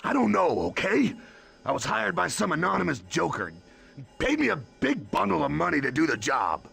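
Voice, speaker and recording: An actor voicing a brutish, hot-tempered hired supervillain in a video game, with a gravelly, raspy voice and slangy, blustering speech. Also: male voice, a little high, big pitch swings, moderate pace, a bit bright, soft background music, heavily acted. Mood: grudging. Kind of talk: animated video game villain acting.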